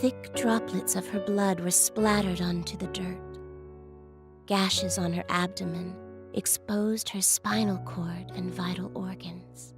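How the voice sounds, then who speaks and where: A woman giving grim, scripted narration for a game in a measured, ominous storytelling manner. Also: lowish voice, steady tone. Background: game music.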